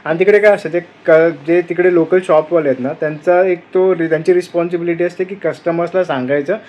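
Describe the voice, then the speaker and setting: Higher-pitched man chatting on a vlog. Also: steady tone, quick pace, a little mellow. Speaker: travel vlog co-host. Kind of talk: casual vlog chat.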